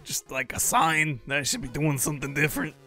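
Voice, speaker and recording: A man's voice: on the high side, animated, a little fast, pushed and bright, faint music behind. A man playing a handheld game, joking and laughing with friends in casual chat. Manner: giggly, jokey, playing along with bits. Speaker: let's play host.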